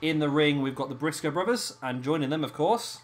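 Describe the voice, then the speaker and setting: A hoarse man, hosting and casually commentating a wrestling video game. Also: a little high, brisk, slight background noise. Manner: chatty, laughing, swears casually. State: hoarse, lost his voice. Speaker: host of a gaming channel.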